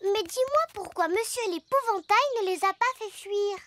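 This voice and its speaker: Very high little girl piglet. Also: moderate pace, a bit bright. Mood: earnest. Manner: childlike, giggly, earnest. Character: cheerful, boastful little girl pig. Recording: music behind the voice.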